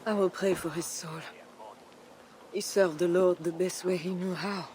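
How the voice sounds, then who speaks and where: A woman's voice: fairly low pitch, steady tone, unhurried, background noise, natural voice. An actress playing a devout, merciful and steadfast medieval noblewoman, earnest and prayerful, in a video game. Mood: pleading, earnest. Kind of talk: dramatic video game acting.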